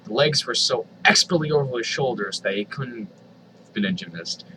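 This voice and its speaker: A man's voice: medium pitch, animated, normal speed, sharp tone, slight background noise, low-quality recording. A man reading a story aloud dramatically, with exasperated, sardonic asides. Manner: exasperated, sardonic, swears freely. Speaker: reader of online fan fiction.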